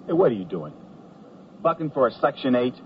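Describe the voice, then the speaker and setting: An actor playing a bullying, sarcastic army sergeant who barks curt orders in a radio comedy. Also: male voice, mid-range voice, a little fast, close to an everyday voice. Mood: scornful. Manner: barking, curt, commanding. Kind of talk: 1970s radio comedy acting. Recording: dull radio recording, faint noise.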